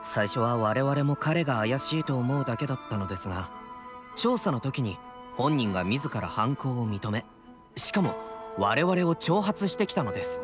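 An actor playing an earnest young samurai guard in an anime game, reporting in a polite official tone. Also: male voice, medium pitch, animated, normal speed, music in the background, natural voice. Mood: earnest, aggrieved.

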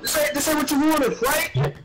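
A man's voice: extremely high pitch, normal speed. A man in a game voice chat, mocking and taunting his opponent with repeated jeers. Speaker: opposing player in voice chat. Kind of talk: taunting trash talk.

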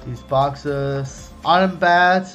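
A young man giving casual running commentary as he opens trading card packs, chatty, excited on big pulls and low on misses, recorded at home on a desk mic. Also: drawn-out words, dull audio, faint music behind. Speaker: card-opening youtuber. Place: home room, indoors.

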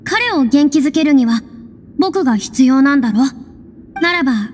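An actress playing a proud yet earnest young woman in a video game, speaking in a measured, theatrical, formal way. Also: sits high, lively intonation, slight background noise. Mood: resolute. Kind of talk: Japanese video game voice acting. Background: faint music.

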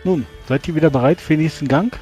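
A man playing a courteous, thoughtful gentleman adventurer in a tabletop game, speaking in a measured, formal way. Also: a little high, faint music behind, voice pushed up, natural voice. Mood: pensive, courteous.

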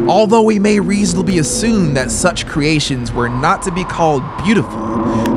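A man in his thirties narrating a horror audiobook in a theatrical, deliberate, emphatic way, close to a studio mic. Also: medium pitch, very noisy. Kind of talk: dramatic horror story narration. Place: small brick-walled studio room indoors. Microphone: close broadcast mic on a boom arm.

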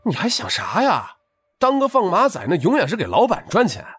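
A man's voice: high voice, wildly swooping pitch, fairly quick, a bit bright, breathy edge, faint music behind, full character voice. A man playing a tipsy, persuasive street hustler in an audiobook drama, earnest, coaxing and colloquial.

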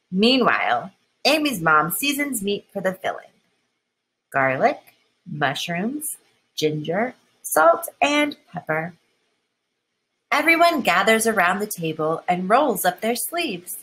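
Female children's storybook reader, unhurried and expressive, with a crisp bright tone and slightly husky edge. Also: mid-range voice, low-quality recording. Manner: expressive, deliberate, with emphasized key words. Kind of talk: children's picture book read-aloud.

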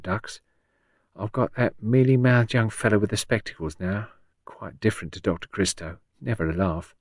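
A man's voice: fairly low pitch, husky, dry recording, studio quality. A man voicing a shrewd, blunt old working-class woman patient in an audiobook, chatty and colloquial with folksy endearments. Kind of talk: audiobook character dialogue.

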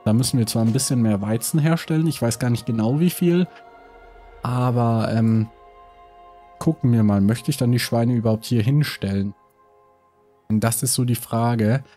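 Man, has a cold, mellow tone, hesitant let's play chatter. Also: mid-range voice, normal speed, pauses often, faint music behind. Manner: thinking aloud, many hesitations. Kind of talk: casual gameplay commentary.

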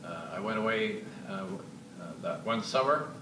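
Older Canadian-raised missionary, low voice, hesitant, heard from across a roomy church lounge with hiss. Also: male voice, very animated, moderate pace. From Canada, Alberta. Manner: hesitant, many filler uhs. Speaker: missionary guest. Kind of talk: casual interview testimony. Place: church lounge with sofas, indoors. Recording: roomy echo, distant mic, clear hiss.

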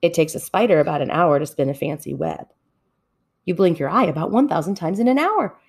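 A woman reading a children's story aloud in an expressive narrating style. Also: fairly low pitch, fairly quick, breathy edge. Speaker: storybook reader.

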